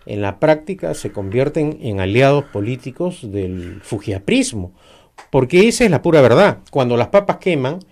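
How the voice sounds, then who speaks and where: Brief: middle-aged Peruvian man, emphatic political guest, lively intonation, hesitant fillers. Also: mid-range voice, normal speed. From Peru. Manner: emphatic, argumentative, many hesitations. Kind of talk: political interview.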